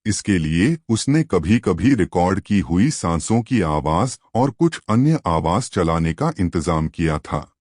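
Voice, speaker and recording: A man's voice: deep voice, big pitch swings, unhurried, hardly any pauses, a little mellow, no room sound, studio quality. A man narrating a scripted suspense story as a storyteller.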